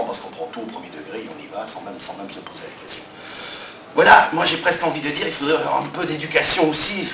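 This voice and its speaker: Outspoken man on a panel in an echoey hall. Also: medium pitch. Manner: outspoken, animated, emphatic repetition. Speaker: panelist, TV journalist. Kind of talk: panel discussion. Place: conference hall at a trade fair. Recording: echoey hall, distant mic, audible noise.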